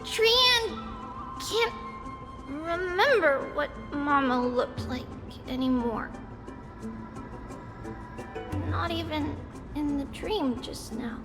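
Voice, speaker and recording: A cute little girl from an anime game, with a tiny, very high voice. She speaks slowly and innocently in simple childlike phrases, with an earnest, cheerful temper. Loud music plays behind her. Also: lively intonation, big-room sound, heavily acted. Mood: wistful. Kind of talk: anime-style game character acting.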